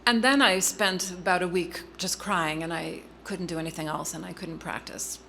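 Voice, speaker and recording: Middle-aged woman telling her family story, lowish bright voice, earnest, lots of ums. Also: fairly even intonation, vocal fry, a little hiss or hum. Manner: earnest, halting with frequent ums and restarts. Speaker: guest speaker. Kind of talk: personal story in a public interview.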